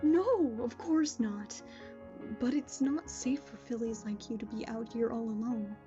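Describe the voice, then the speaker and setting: A woman playing a calm, kindly royal pony ruler in an audio drama, speaking in a gentle, measured and reassuring way. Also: a little high, natural voice. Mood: gentle, caring. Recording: muffled, dull audio under music.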